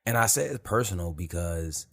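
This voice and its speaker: Deep-voiced man, loose podcast talk. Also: animated, normal speed, fairly bright tone. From Detroit, USA. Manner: loose, rambling, peppered with "you feel me" and profanity. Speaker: podcast host. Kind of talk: unscripted casual monologue.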